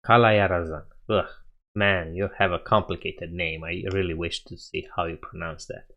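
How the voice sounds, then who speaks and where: A man's voice: low-pitched, fairly even intonation, moderate pace, a bit bright, dull audio. A young man with a Romanian accent, casually chatting with viewers on a coding live stream, laughing often and thinking aloud.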